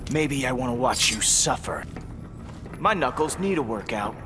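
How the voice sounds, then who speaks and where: A man's voice: medium pitch, lively intonation, normal speed, noisy background, close to an everyday voice. A man playing a cocky, hot-headed, taunting vigilante in video game fight banter. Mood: cocky menace.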